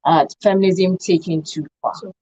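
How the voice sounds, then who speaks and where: A woman's voice: on the low side, fairly even intonation, moderate pace, dark tone, slightly rough, no room sound. A woman moderating a radio discussion and putting questions to the guests. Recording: dull lo-fi dry recording.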